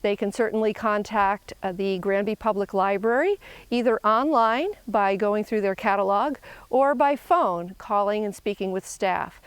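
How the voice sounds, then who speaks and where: Smooth, clear-voiced woman hosting. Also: mid-range voice, dry recording. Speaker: host of a public library program. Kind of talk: closing thanks and announcements.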